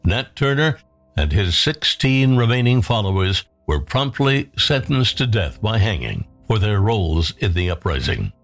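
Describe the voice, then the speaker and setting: Male host, low voice, very varied intonation, dramatic scripted narration, light music behind. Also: big pitch swings, measured pace.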